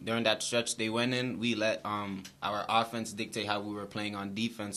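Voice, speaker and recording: Young man, flat quick postgame answers. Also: fairly low pitch. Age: young adult. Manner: flat, steady, repeats phrases. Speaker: college basketball player. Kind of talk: postgame press conference answers. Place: small room with brick wall. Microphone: table mic in front.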